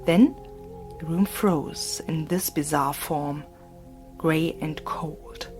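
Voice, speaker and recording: A woman narrating a fantasy audiobook in a soft, breathy, hushed voice. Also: deep voice, slow pace. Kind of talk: scripted narration. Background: faint music.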